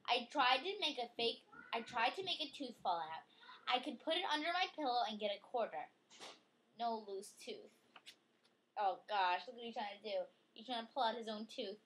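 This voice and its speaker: A woman reading a picture book aloud to a child in an expressive way, with chatty, coaxing questions for the young listener. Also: a little high, moderate pace, a little hiss or hum, low-quality recording.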